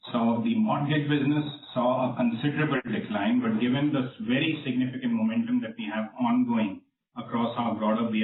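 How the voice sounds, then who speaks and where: Formal exec guy on an earnings call, measured, kinda far from the mic, tinny line. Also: medium pitch. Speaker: company executive answering analysts. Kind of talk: corporate earnings call remarks and answers. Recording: roomy, distant, tinny phone-band conference line.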